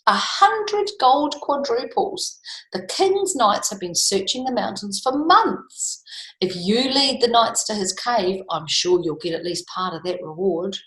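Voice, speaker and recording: A woman voicing an excitable farmer father from a storybook, with an emphatic, raised delivery. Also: mid-range voice, measured pace. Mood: eager. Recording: echoey room.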